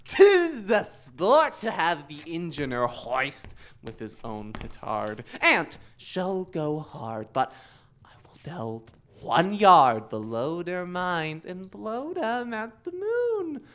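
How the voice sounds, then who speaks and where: A woman's voice: lowish voice, very animated, slow pace. A woman playing a scheming, vengeful young prince in a Shakespearean audio drama, sardonic and theatrical. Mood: gleefully scheming.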